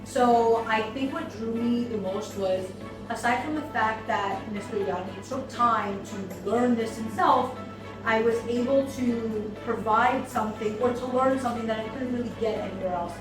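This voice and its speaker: Woman in an echoey room. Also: a little high. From United States. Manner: thoughtful, frequent ums and pauses. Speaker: seminar attendee, business owner. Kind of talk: testimonial interview. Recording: echoey room, mic far off, music behind.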